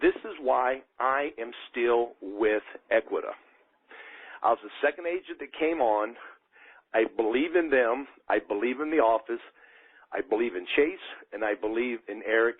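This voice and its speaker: A man's voice: medium pitch, moderate pace, husky. A man giving an emphatic motivational sales talk as a team leader, exhorting his listeners directly over a conference call. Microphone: telephone. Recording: muffled, narrow phone conference line.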